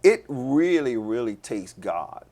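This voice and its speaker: Animated male pastor hosting a discussion. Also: mid-range voice, slow pace. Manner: animated, repeats and restarts phrases. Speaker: host and pastor. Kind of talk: casual discussion.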